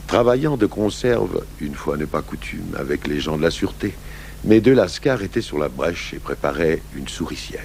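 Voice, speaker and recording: An actor playing a gruff, weary French police commissioner narrating his own case in a 1980s radio drama. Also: low-pitched, expressive, moderate pace, a little mellow. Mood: measured, wry. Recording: noisy old radio broadcast recording.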